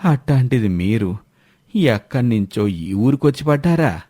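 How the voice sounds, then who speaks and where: A man voicing a blunt old villager who gives grim warnings in slow, rustic speech for a moral story. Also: medium pitch, expressive, dark tone, dry recording, clean studio sound, pitched below the performer's own voice.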